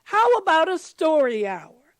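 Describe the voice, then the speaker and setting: Storybook female teacher, acted by a woman: sweet, gentle, coy about secrets, high and slow. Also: big pitch swings, dry recording, full character voice. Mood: bright, inviting. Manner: sweet-voiced.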